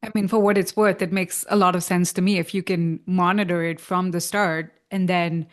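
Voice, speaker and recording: Measured woman interviewer, lower voice. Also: little pitch movement. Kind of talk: interview question.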